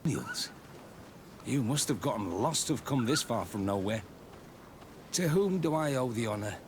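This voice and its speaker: An actor playing a weary, embittered military captain in a video game cutscene, with a bitter, self-deprecating manner. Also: male voice, medium pitch, lively intonation, normal speed, background noise, close to an everyday voice. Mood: wry, surprised.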